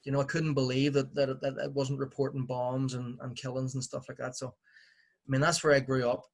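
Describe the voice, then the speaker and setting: A man in his forties with a Belfast accent, speaking as a podcast guest. His pitch stays level and even as he recalls his past with a reflective, wry humor. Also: normal speed, gravelly. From Northern Ireland. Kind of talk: relaxed interview storytelling.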